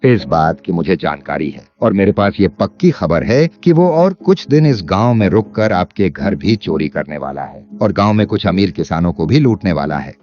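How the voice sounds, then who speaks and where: A man playing a cunning, greedy village landlord in an animated moral story, speaking with sly emphasis. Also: deep voice. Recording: dull lo-fi sound under music.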